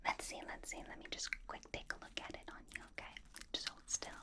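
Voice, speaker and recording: A woman voicing a possessive, doting, commanding ancient vampire boss in an ASMR roleplay, in a breathy whisper with coaxing hums. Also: a little fast, close to an everyday voice.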